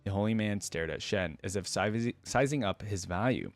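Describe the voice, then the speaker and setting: A man's voice: on the low side, lively intonation, moderate pace, faint music behind. A man hosting a reaction video, moving between casual commentary and reading story text aloud.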